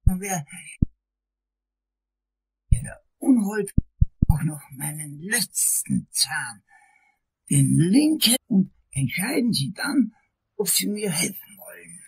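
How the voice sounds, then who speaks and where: A man playing an anxious, long-winded lawyer in a crime radio play, telling his story in a halting, melodramatic, husky and creaky voice. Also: sits high, sing-song, darkish tone, heavily acted. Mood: distressed, dramatic. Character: anxious, long-winded male lawyer recounting a night attack.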